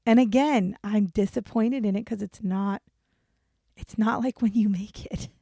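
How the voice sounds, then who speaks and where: A woman in her forties talks into a close mic in a dry, dead room, her pitch sitting in an ordinary middle range. She chats loosely with her co-host, laughs a lot, drifts off on asides and drops casual swears. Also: measured pace, gravelly, mellow, dry recording. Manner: chatty, laughs often, rambling asides, swears. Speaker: podcast co-host. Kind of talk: casual banter podcast.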